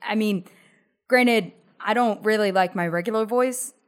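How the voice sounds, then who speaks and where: Female podcast co-host, mid-pitched, conversational and expressive, chatting informally. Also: measured pace. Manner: animated, gesturing as she talks. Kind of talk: casual chat.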